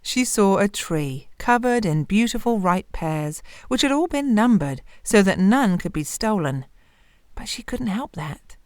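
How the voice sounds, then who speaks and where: A woman storyteller giving an expressive narration of a fairy tale. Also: mid-range voice, a little slow, no room sound, studio quality.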